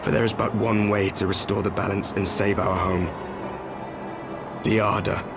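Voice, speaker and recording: An actor playing a grim, weary warrior from a doomed world in a game cutscene, speaking in a solemn, measured and deliberate manner. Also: male voice, on the low side, level tone, natural voice. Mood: grave, resolute. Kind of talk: dramatic video game cutscene acting. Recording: loud music under the voice.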